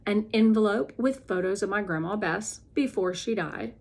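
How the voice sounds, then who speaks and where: A woman narrating a children's novel as an expressive, deliberate read-aloud. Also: mid-range voice.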